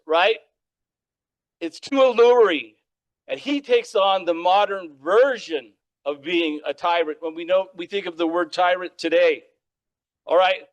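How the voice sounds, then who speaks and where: A man lecturing on history with a loud, projecting voice, often checking in with "all right?". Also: high voice, rough recording. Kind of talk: public lecture. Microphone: microphone.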